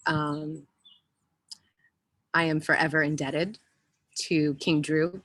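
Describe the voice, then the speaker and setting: Slow, bright-voiced heartfelt woman. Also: low voice, level tone, dry recording, low-quality recording. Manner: heartfelt, emphatic. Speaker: panelist, former teacher, medical student. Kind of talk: unscripted panel answer.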